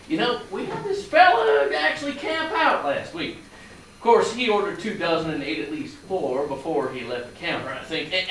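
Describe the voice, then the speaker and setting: A man's voice: sits high, a bit bright. A man playing a folksy, blunt bakery owner from Texas in a staged play reading. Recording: echoey hall from far off, faint noise.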